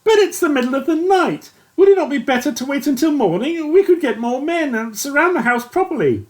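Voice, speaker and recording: A narrator voicing a young British army captain who is shattered and sobbing, in a high, wailing, breaking voice. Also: very animated, brisk. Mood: anxious. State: crying.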